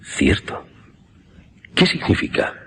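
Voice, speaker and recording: An actor playing a grave, uneasy doctor with a formal, questioning manner in a horror radio drama. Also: male voice, takes it slowly, fairly bright tone, a little hiss or hum.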